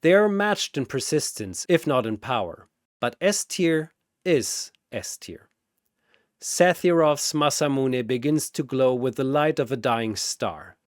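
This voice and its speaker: Theatrical guy narrating an epic battle, takes his time, pitch goes all over, really dry sound. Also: lively intonation, unhurried, no room sound. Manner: theatrical, emphatic. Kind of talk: dramatic scripted battle narration.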